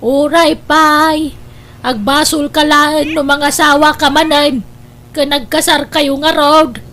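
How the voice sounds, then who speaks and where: Radio drama role: nagging older mother, high-pitched, exclamatory, advising a grown son. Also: level tone, slow, a bit bright, a little hiss or hum. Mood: scolding. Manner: scolding, exclamatory, persuasive. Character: exasperated older mother counselling her stubborn grown son. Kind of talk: Ilocano radio drama acting.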